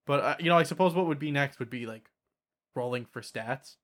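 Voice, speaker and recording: A man's voice: mid-range voice, fairly quick, a bit bright. A man hosting a casual tabletop gaming podcast, explaining his ideas and checking that others follow. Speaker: podcast host. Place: online voice call, recorded at own microphone.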